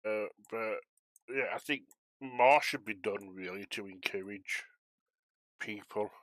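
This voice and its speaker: A man's voice: fairly low pitch, slow pace, fairly bright tone, dry recording. A man chatting casually on a flight simulation stream, rambling and self-deprecating as he talks to viewers while controlling air traffic.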